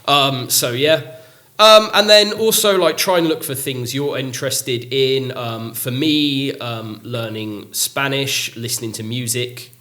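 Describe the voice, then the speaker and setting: A man's voice: mid-range voice, unhurried, bright, forceful tone. A man, a teacher chatting casually on a podcast, full of ums and likes and poking fun at himself. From England. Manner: chatty, lots of ums and likes, self-mocking. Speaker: English teacher.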